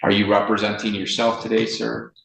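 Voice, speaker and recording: Gravelly low-voiced American male judge. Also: little pitch movement, a bit bright. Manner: deliberate, firm, measured phrasing with pauses. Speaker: judge presiding over a court hearing. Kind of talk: formal courtroom speech on the record. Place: indoor courtroom. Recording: roomy echo, faint noise, courtroom audio.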